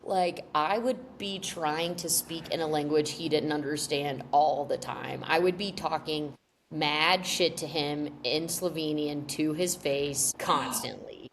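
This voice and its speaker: A woman co-hosting a comedy podcast, animated and rambling, blunt and quick to swear. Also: low-pitched, a little hiss or hum. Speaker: podcast co-host. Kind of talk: comedic casual chat.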